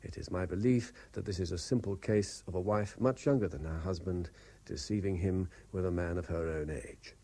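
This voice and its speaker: An actor playing a haunted, reluctant private investigator in a radio drama, with a British accent and a hesitant, measured way of speaking. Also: male voice, deep voice, dark tone. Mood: sombre, dictating. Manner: hesitant, stammering in talk, measured when dictating. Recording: 1970s radio drama broadcast.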